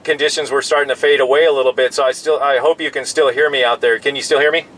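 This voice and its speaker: A man's voice: mid-range voice, steady tone, quick pace. An American man in his fifties chatting casually as a CB radio operator, explaining things in an easygoing, rambling way. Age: 50s. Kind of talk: casual two-way radio chat. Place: in a pickup truck. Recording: in-vehicle recording with clear background noise.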